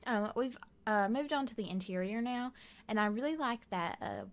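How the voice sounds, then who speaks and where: A hoarse woman giving a casual, rambling voiceover for a building video from her bedroom at home, with lots of fillers and soft laughs. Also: fairly high pitch, animated, normal speed. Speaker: gaming video creator.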